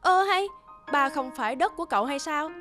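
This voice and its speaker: A woman's voice: sits high, lively intonation, fairly quick, a bit bright. A woman voicing a chatty, teasing young office colleague in a cartoon. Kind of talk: cartoon dubbing. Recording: music under the voice.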